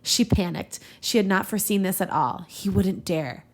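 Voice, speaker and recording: Woman, mid-range pitch, podcast co-host, casual comedic chat, story reading. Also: moderate pace.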